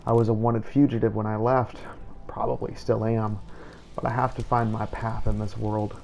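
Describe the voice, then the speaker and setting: Male game-series narrator, relaxed and gravelly, scripted roleplay delivery over noisy game ambience. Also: lowish voice, moderate pace. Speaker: host of a game playthrough series.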